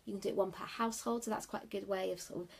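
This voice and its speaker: A woman's voice: mid-range voice, fairly even intonation, racing, vocal fry, slightly rough. A woman with a sniffly cold, giving chatty, rambling wedding-planning tips on a livestream from her office at night. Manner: chatty, rambling, many fillers.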